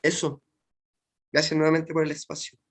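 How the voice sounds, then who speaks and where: A man speaking up in an online class, halting and restarting as he talks. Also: on the high side, monotone. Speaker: course participant.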